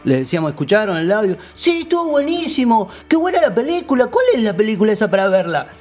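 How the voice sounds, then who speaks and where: A man's voice: high-pitched, big pitch swings, quick pace, a little mellow. A man with a Buenos Aires accent telling anecdotes as a guest in a casual radio chat, in a colloquial, slangy way. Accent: Buenos Aires Argentine. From Argentina. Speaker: guest, voice-acting teacher. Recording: music bed behind the voice. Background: background music.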